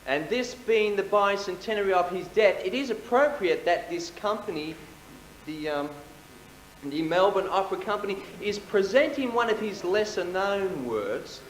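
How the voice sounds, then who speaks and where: A man with a high voice delivers a formal stage prologue, lecturing like a learned host and pausing on the odd filler. The sound is roomy and carries a faint hiss from a live theatre recording. His tone stays measured and explanatory. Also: expressive. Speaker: on-stage narrator.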